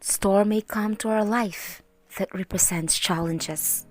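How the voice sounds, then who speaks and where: Slow-paced woman storyteller reading for children. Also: medium pitch. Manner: slow, deliberate, expressive storytelling. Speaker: teacher reading stories for children. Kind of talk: scripted Bible story narration for children. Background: soft background music.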